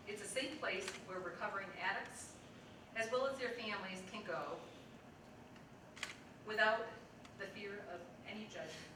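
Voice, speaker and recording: A woman hosting an event, giving a heartfelt, formal introduction of the main speaker. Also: mid-range voice. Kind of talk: prepared introduction speech. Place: event hall with audience. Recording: echoey hall from a distance, background noise.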